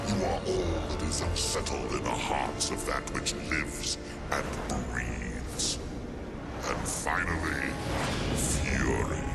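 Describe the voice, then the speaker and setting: An actor voicing an ancient demonic judge for a video game, booming and gravelly, declaiming in grave, solemn ceremony. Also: male voice, full character voice. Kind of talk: video game cinematic voice acting.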